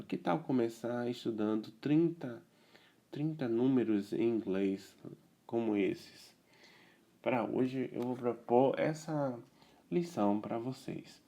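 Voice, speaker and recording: Male tutor, dark gravelly tone, easygoing explainer who keeps checking in. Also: medium pitch. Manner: relaxed explaining, checks in with "né?" and "tá certo?". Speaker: self-taught English tutor. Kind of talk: casual video lesson.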